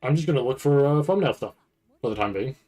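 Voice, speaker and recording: A man's voice: mid-range voice, animated, fast, warm tone, soft background music. A man hosting a casual livestream, rambling and thinking aloud in loose, sometimes profane language. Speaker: livestream host reacting to anime event. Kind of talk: casual live stream chat.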